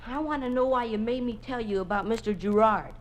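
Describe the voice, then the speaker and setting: A performer playing an earnest, distressed schoolboy scout in a 1950s radio drama, plaintive and halting. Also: mid-range voice, natural voice. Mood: nervous, accusing.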